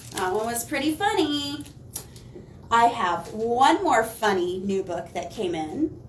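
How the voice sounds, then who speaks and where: A woman reads a children's story in a high, slow voice. She stresses words dramatically and drops in asides to her young listeners. The room rings around her, as if the mic is set some way off. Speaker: children's librarian reading picture books aloud. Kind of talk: animated storytime read-aloud for children. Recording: roomy, distant mic, faint noise.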